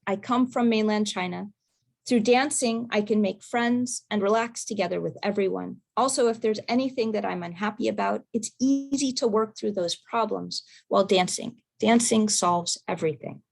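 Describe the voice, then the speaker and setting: A woman presenter reading a prepared research talk in a measured, careful way over a video call. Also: medium pitch, vocal fry. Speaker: academic presenter.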